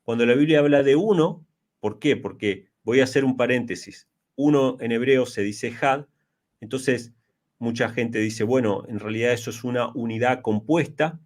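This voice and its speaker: A man's voice: mid-range voice, moderate pace, darkish tone. A man in his early forties explaining religious ideas in an interview, speaking emphatically with repetition and rhetorical questions. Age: early 40s. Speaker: interviewee, Bible teacher.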